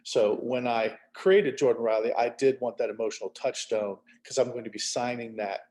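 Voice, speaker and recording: A man's voice: mid-range voice, normal speed, darkish tone. Middle-aged man, casual storyteller. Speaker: author. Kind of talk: casual chat.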